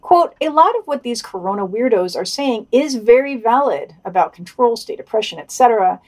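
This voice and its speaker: A woman's voice: on the high side, darkish tone. A woman co-hosting a podcast, reading scripted news commentary and quotations with occasional wry asides. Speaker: podcast co-host.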